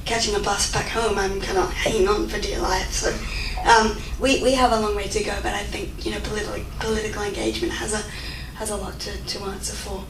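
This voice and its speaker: A woman's voice: medium pitch, level tone, a little fast, few pauses. A young woman in her twenties with an Australian accent, answering audience questions in a chatty way full of fillers and restarts. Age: 20s. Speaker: guest speaker. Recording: echoey room, mic far off, faint noise.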